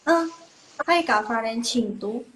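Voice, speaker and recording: A woman's voice: on the high side, expressive, slow, fairly bright tone, poor audio. A young woman from Indonesia teaching Mandarin in a casual live online lesson, chatty and reassuring, trailing off and repeating herself.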